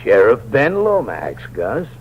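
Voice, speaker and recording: A man's voice: medium pitch, huge pitch swings, slow pace. An actor playing a patient, fatherly county sheriff talking to a dying man. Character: steady middle-aged male county sheriff. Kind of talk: 1950s radio drama acting. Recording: dull old radio recording with faint hiss.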